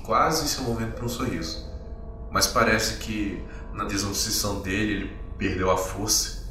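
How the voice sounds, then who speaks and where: A man's voice: lowish voice, bright, forceful tone, slight background noise. A man running a tabletop game as game master, explaining the rules and describing the scene. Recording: echoey and distant with music.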